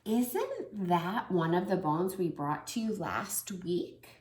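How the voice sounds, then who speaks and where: Woman voicing a gentle storybook man, slow, gentle reading, echoey room. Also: on the low side, animated. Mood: calm. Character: calm grown man explaining to a monkey.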